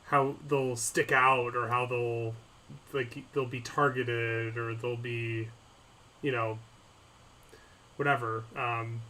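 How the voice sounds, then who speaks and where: Guy with a middle voice, halting and full of ums, just chatting on a podcast. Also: mid-range voice, flat delivery, bright, forceful tone, rough recording. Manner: hesitant, halting, lots of ums. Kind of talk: casual chat over a call.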